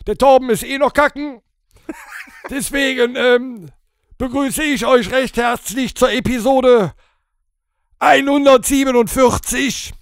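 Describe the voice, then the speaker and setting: A man's voice: very high voice, takes it slowly, clean tone, dry recording, studio quality, full character voice. A man voicing Horst, a brash and crude male character, bantering bluntly on a podcast. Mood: deadpan, cocky.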